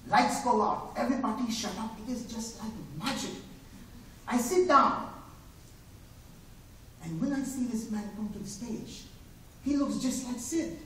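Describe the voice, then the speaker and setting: A man's voice: very high voice, measured pace, long pauses, bright, forceful tone, slight background noise, full character voice. A man on a live stage voicing an immigrant dishwasher father, telling the story in broken English with chuckles. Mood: storytelling. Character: immigrant male dishwasher, older father, wry and wide-eyed. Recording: echoey theater, heard from far off.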